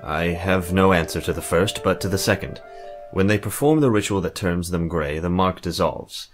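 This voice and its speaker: Man acting low-voiced formal scholarly young mage. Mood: grave. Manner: formal, explanatory, measured. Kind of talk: visual novel character acting. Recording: music bed behind the voice.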